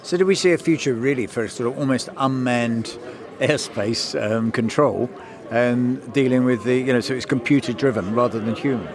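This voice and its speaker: A man's voice: mid-range voice, moderate pace. A man interviewing a guest on location at an event, putting questions in a conversational presenting style. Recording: crowd chatter around the voice.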